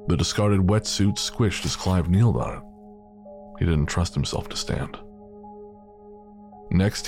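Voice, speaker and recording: A man's voice: low-pitched, a little mellow. A man narrating a scripted audio drama as its storyteller.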